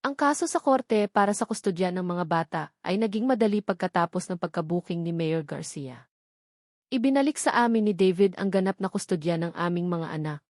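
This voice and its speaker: A woman's voice: fairly low pitch, expressive, fairly quick, darkish tone, dry recording, clean studio sound. A woman reading scripted, dramatic narration as a storyteller.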